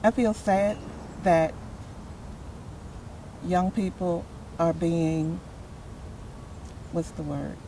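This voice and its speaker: Interviewee, unhurried and halting, mellow timbre, faint background noise. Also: medium pitch, gravelly. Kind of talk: halting, thoughtful interview answer.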